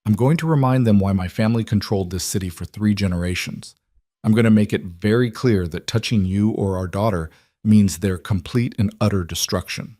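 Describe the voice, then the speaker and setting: A man plays a mafia boss in an audiobook, speaking in a low voice. He is cold and determined, measured yet intense, fiercely protective of his family. The sound is dry. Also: dark tone, dry recording, close to an everyday voice. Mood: cold, resolute. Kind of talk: audiobook character dialogue.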